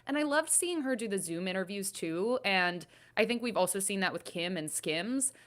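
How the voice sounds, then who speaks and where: A woman's voice: medium pitch, lively intonation, normal speed, a bit bright. A woman panelist on an entertainment recap show, discussing things with enthusiasm in an earnest, explanatory way.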